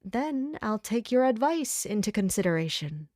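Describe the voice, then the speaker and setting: Woman playing serious young female officer in a fantasy drama, formal n measured, very dry recording. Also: a little high, sing-song, a little mellow, dry recording. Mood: reserved. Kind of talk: fantasy audio drama acting.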